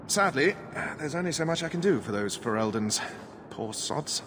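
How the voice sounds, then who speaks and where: An actor playing a merchant in a video game, glib and polite, with a weary show of sympathy. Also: male voice, on the high side, lively intonation, moderate pace, noisy background, natural voice. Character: smooth-talking temporary fortress merchant, falsely sympathetic.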